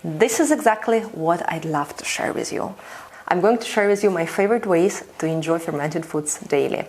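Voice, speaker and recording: Low-voiced woman teaching home fermentation. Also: moderate pace. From Russia. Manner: enthusiastic, conversational, with frequent "you know". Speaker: fermentation teacher and channel host. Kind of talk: instructional video talk to camera.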